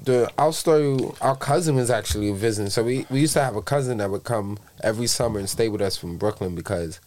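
A man in his thirties from the Bronx with a raspy voice, telling a story as a podcast guest in loose street slang. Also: on the low side, fast talker, darkish tone, a little hiss or hum. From the Bronx, New York. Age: 30s. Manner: loose street slang, piles up vivid details. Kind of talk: casual storytelling chat.

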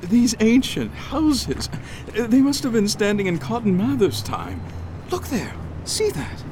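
An actor playing a fussy, curious writer led by a spirit, making nervous, wondering asides in a radio drama. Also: male voice, high-pitched, wildly swooping pitch, slow pace, a little mellow, a little hiss or hum, exaggerated voice.

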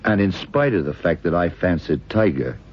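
An actor playing a shrewd, methodical detective in an old radio crime drama, gravelly and deliberate, musing as he questions. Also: sits low, lively intonation. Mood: working it out. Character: shrewd, methodical middle-aged American male detective. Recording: dull vintage radio drama recording.

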